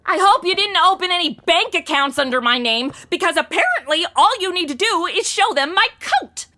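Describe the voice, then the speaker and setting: A high, bright voice that swings all over the place as a woman acts out a flighty young American ex-cheerleader. She is self-absorbed and melodramatic, talking in modern slang in an audio comedy. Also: animated, moderate pace, full character voice. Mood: indignant. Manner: dramatic modern slangy delivery. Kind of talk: comedy audio drama acting.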